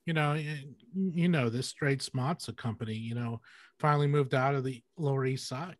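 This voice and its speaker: A man's voice: medium pitch, moderate pace, dry recording. A middle-aged man casually putting questions to guests as an interviewer on a video call. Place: indoor room on a video call.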